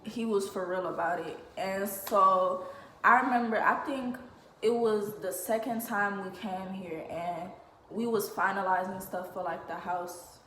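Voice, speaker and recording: A teenage girl from Chicago talks softly and haltingly. She leans on fillers, and her voice sits at an ordinary middle pitch. The room adds a roomy echo with a faint hiss underneath. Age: teens. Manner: soft-spoken, self-described shy, filler-heavy. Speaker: vlogger. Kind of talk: casual storytime chat.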